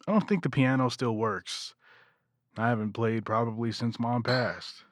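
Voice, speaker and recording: A man's voice: medium pitch, steady tone, measured pace, dry recording, studio quality, lightly acted. A man playing a friendly, reflective grieving son in an audio drama, with easygoing, conversational delivery. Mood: reminiscent.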